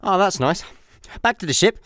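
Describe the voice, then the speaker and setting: A man's voice: high-pitched, expressive, normal speed, fairly bright tone, slight background noise. A Scottish man playing a bumbling, chatty space layabout in a comedic radio play, rambling and exasperated. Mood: flustered.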